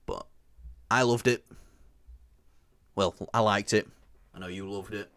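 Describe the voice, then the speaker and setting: A man's voice: fairly low pitch, fairly even intonation, unhurried, fairly bright tone, clear, resonant tone, no room sound. A young man with a Yorkshire accent co-hosting a movie review show, chatting casually with lots of fillers and restarts. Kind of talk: casual chat.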